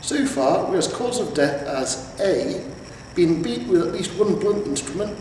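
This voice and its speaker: A man voicing an earnest, plodding night-watch sergeant in a storybook, speaking deliberately and emphatically. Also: high voice, expressive, darkish tone, voice pushed up. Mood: puzzled, deliberate. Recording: roomy, echoing, distant mic with noise.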